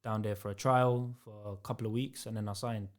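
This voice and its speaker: A young man with a London accent, a podcast guest telling stories in a relaxed, rambling way full of slang. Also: lowish voice, brisk. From England. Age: young adult. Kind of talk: casual podcast chat.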